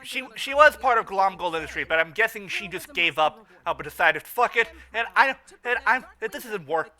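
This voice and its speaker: A man giving casual, excitable commentary on a cartoon, halting and repeating his words. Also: sits high. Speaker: co-host of a cartoon riffing show.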